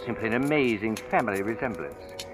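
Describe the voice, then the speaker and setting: An actor playing a brooding storyteller in an old-time radio horror drama, narrating with deliberate, suspenseful, theatrical phrasing. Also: male voice, lowish voice, animated, natural voice. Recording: vintage radio recording with music behind. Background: dramatic music.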